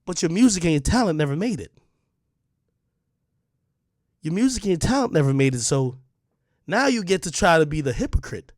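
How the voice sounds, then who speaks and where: A man's voice: a little high, very animated, quick pace, no echo. Heated confrontational man, dry close sound. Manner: confrontational, heated, repeats points. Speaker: online media personality. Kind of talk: direct-to-camera rant.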